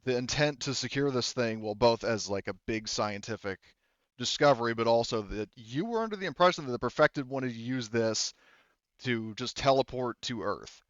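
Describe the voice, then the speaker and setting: Guy game mastering, clean smooth voice, rambles and halts, kinda dull close dry recording. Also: medium pitch, animated, a bit bright, dry recording. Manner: halting, rambling explanations. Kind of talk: casual recap and banter in a tabletop roleplaying game.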